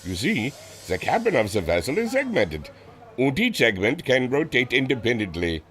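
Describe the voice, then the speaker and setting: An actor playing an earnest, proud inventor with a formal, theatrical manner in a pulp audio drama. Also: male voice, medium pitch, big pitch swings, normal speed, fairly bright tone. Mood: proud, excited.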